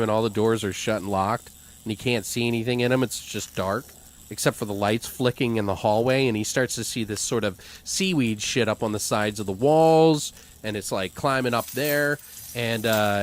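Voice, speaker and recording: A man's voice: medium pitch, moderate pace. A man co-hosting, casually brainstorming a made-up story in a loose, rambling, profane way. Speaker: podcast co-host.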